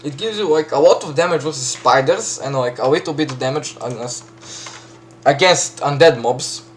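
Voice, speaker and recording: A man with a Serbian accent casually walking through a game map he built, chatty, full of fillers and quick self-corrections. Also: a little high, slight background noise. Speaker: map creator giving a tour. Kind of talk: casual gameplay walkthrough.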